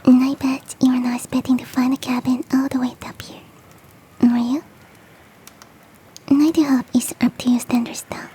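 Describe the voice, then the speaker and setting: A woman voicing a big, fluffy, motherly and flirty yeti in a soft, teasing, whispered ASMR roleplay. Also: high-pitched, level tone, moderate pace, pauses often, breathy edge. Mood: reassuring, cozy. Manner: tender, teasing, coaxing.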